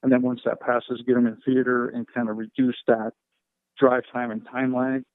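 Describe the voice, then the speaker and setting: A man speaking as a fire and rescue official, answering questions on a conference call in measured, procedural language full of operational jargon. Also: mid-range voice, level tone, dry recording, narrow, tinny audio.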